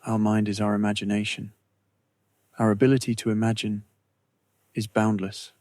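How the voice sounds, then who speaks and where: Male meditation guide, lowish pitch, flat steady intonation, slow soothing scripted delivery, long pauses. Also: no room sound.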